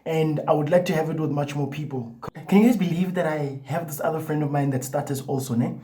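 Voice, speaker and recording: Mellow young man who stutters. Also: fairly high pitch, fast talker. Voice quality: stutters, with repeated words and restarts. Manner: loose and chatty, full of "like" and "you know". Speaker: vlogger. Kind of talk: casual talk to camera.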